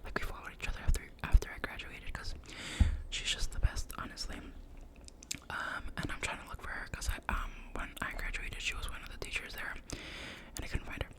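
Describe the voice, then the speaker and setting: A young woman whispering a rambling personal story, full of "like" and self-corrections, in the style of an ASMR storytime. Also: a little fast. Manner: soft whisper throughout. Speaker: vlogger.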